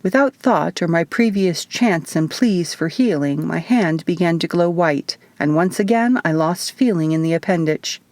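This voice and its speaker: A woman's voice: lowish voice, normal speed, hardly any pauses, a little mellow, dry recording. A woman narrating first-person fiction as an audiobook reader, in a scripted, storytelling style.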